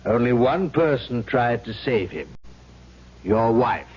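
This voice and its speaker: An actor playing a grave, kindly missionary priest with formal, measured phrasing in an old-time radio drama. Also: male voice, mid-range voice, big pitch swings, breathy edge, heavily acted. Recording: dull, faintly humming vintage radio recording.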